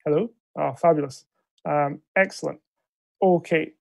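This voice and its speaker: A man's voice: on the high side, fairly even intonation, measured pace, gravelly, darkish tone, no room sound. A Scottish man, a debate coach, chairing an online debate round with courteous, polite requests over a video call. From Scotland.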